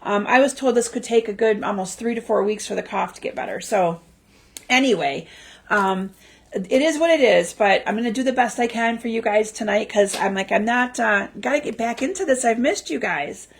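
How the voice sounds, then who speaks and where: A woman hosting a crafting livestream in chatty, casual talk full of ums, her voice slightly raspy from a lingering cough. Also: on the high side, moderate pace. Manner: chatty, lots of ums and restarts. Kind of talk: casual live-stream chat. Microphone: livestream mic.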